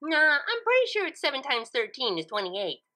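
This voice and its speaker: A woman voicing a cheerful, scatterbrained young female cartoon pony with a giggly, excitable, breezily confident manner. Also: sits high, normal speed, voice pushed up, full character voice.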